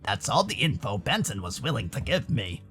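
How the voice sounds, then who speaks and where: A man's voice: medium pitch, big pitch swings, normal speed, fairly bright tone, faint music behind, voice pushed down. An American man voicing a laid-back furry animal character who hesitantly explains things to his friends in a visual novel. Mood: hesitant, patient.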